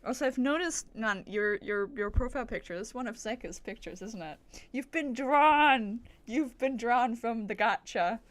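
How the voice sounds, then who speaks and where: Higher-pitched woman, casual livestreamer. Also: normal speed. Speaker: streamer.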